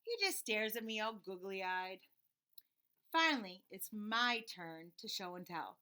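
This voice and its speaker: A woman narrating a children's story aloud in an expressive, emphatic way with dramatic pauses. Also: mid-range voice, clear, resonant tone.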